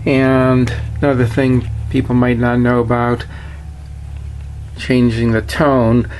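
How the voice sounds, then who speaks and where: A man's voice: mid-range voice, level tone, slow pace, a little mellow, a little hiss or hum. A man giving a casual, plainspoken product walkthrough in a matter-of-fact way, like a seller showing an item. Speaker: seller demonstrating a vintage radio.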